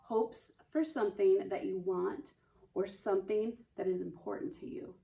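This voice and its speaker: A woman's voice: mid-range voice, fairly even intonation, moderate pace, gravelly. A woman teaching children vocabulary in an encouraging way, repeating words for them to say back, recorded roomy and distant with music. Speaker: teaching artist, educator.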